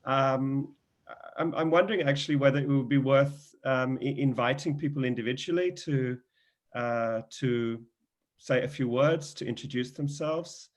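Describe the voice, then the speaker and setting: A man's voice: mid-range voice, normal speed. Hesitant man hosting a video call. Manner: friendly, hesitant, many fillers. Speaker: host of the event.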